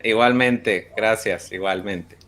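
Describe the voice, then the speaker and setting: A man's voice: on the low side, slow, a bit bright. Male teacher, 30s, Spanish, video-call roll call, short friendly replies. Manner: friendly, brief acknowledgments. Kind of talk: online class roll call.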